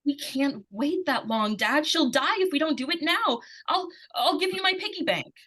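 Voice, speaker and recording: An earnest young girl, acted by a woman in an old-time radio thriller. Her voice is high, and she speaks with pleading, insistent urgency, as a child who needs to be believed. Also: animated, normal speed, sharp tone. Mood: urgent pleading. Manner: urgent, breathless repetition and pleading. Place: live stage radio-play performance.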